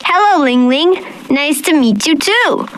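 A woman voicing a cheerful young schoolgirl in a scripted textbook dialogue, with a bright, lively delivery. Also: high-pitched, measured pace, a little hiss or hum. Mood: friendly.

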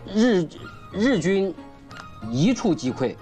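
An actor playing a dutiful, nervous young servant who stammers and reads aloud slowly and haltingly, with music playing behind. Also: male voice, high voice, big pitch swings, a little mellow, heavily acted. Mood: reading haltingly.

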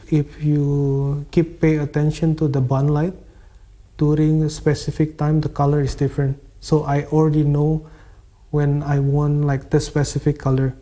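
A man who is a photographer answers interview questions in halting non-native English, repeating words and using fillers. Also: a little high, mellow.

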